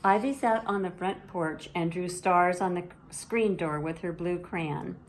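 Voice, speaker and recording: A woman storyteller reading a children's bedtime story aloud in a measured, expressive way. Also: lowish voice, slight background noise.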